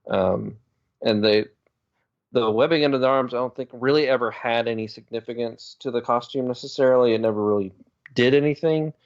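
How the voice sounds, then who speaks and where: A man's voice: medium pitch, fairly quick. A man co-hosting a podcast, chatting casually and a little hesitantly as he pieces answers together, on a slightly muffled mic. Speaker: podcast co-host. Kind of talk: casual chat.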